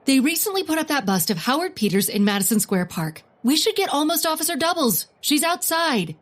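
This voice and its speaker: An actress playing a quick-thinking woman detective in a comedic period audio drama. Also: high voice, sing-song. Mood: brisk. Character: quick-thinking one-eyed woman sleuth in a comic period mystery.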